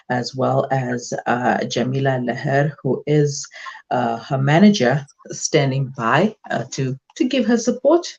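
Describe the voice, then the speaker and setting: A woman hosting a midday radio talk show, relaxed and leaning on filler sounds. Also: a bass voice, lo-fi sound. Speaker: radio host.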